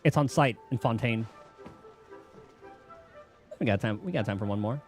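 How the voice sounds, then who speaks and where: A man's voice: mid-range voice, normal speed, a little mellow, soft background music. A man giving casual, offhand live commentary as he streams a game, chatty and informal.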